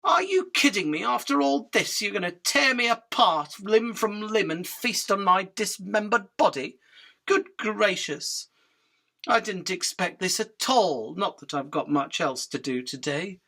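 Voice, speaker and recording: A man playing a cheeky, fast-talking fly in a radio drama, pleading and rambling, deferential but impudent. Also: sits high, lively intonation, vocal fry, pushed and bright, heavily acted. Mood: outraged, panicked. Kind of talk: illustrated radio drama acting.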